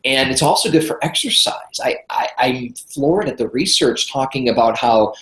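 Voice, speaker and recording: A man's voice: medium pitch, expressive, moderate pace, a bit bright, reverberant, low-quality recording. A congested man from Michigan, a guest health expert chatting enthusiastically in a podcast interview. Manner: chatty, enthusiastic, repeats words when starting sentences.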